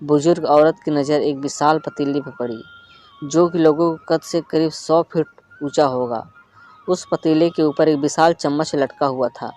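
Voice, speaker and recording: A man narrating a moral story in an earnest, measured reading. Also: fairly high pitch, steady tone, a little mellow. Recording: faint flute music behind.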